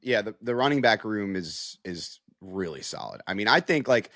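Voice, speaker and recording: A man's voice: fairly low pitch, animated, moderate pace, a bit bright, no room sound, studio quality. An American man in a casual sports analysis chat as a reporter, conversational and peppering his points with "like" and "you know". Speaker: sports reporter, podcast guest.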